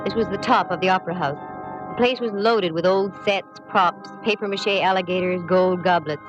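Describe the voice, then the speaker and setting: A woman's voice: lowish voice, brisk, dull audio, natural voice. An actress playing a wry, breezy woman private eye in 1940s radio detective style. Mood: dramatic narration. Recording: vintage 1949 radio recording, music behind.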